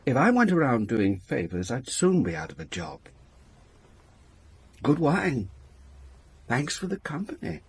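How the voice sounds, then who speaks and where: A man's voice: medium pitch, wildly swooping pitch, a little slow, long pauses, slightly rough, heavily acted. An actor playing a wry, world-weary police inspector who narrates his own story in a radio drama. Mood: wry, probing. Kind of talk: radio drama acting with first-person narration.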